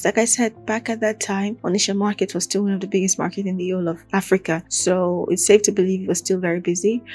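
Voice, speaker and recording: A woman with a Nigerian accent hosting a true crime podcast, telling the story casually and chattily, with animated asides. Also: mid-range voice. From Akwa Ibom, southern Nigeria.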